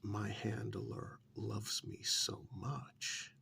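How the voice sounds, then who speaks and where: A man playing a smug, pampered captive in a soft-spoken ASMR role-play, drawling sardonically with long pauses and feigned innocence. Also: fairly low pitch, steady tone, a little hiss or hum, full character voice. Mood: sardonic, smug. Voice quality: soft, half-whispered, gravelly.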